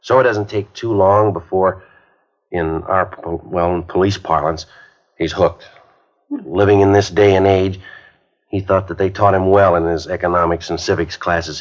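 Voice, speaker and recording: An actor playing an earnest police sergeant rehearsing a school speech in a 1950s radio drama, heard on a muffled old broadcast recording. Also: male voice, deep voice. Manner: earnest, plain-spoken lecturing. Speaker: police detective giving a speech.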